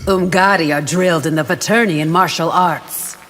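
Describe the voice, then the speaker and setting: Actress as stern female guard, slightly low voice, resolute, video game dialogue, heavy music. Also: steady tone, moderate pace, lightly acted. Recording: loud music behind the voice.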